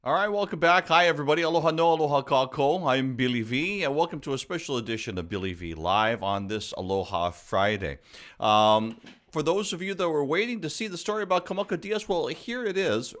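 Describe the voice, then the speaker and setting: Man, live show host, lively, emphatic, slightly high voice. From Hawaii. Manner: lively, emphatic, drawn-out phrases. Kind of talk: upbeat live interview.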